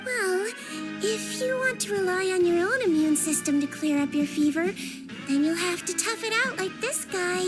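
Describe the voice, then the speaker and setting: Brief: a tiny, sweet creature nurse from a game, very high soft voice, gently teasing, music beneath. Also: female voice, expressive, normal speed. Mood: gentle.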